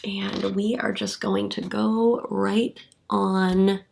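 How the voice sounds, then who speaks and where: A young woman talks through a get-ready-with-me tutorial in a chatty, upbeat way, thinking out loud as she works. She sounds a little stuffed up from a cold, and a gravelly fry creeps into her voice. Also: mid-range voice, little pitch movement, extremely slow, background noise. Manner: chatty, upbeat, thinking aloud while working. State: congested from a cold, sniffly. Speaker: beauty vlogger, hair and makeup artist.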